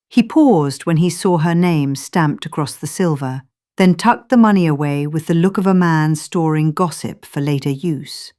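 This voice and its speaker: A woman narrating a scripted story in a measured, literary storytelling manner. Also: deep voice, darkish tone.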